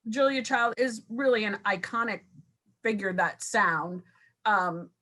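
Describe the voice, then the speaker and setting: A woman actor talking about her role in a casual video-call talkback, halting with many fillers. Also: mid-range voice, pushed and bright.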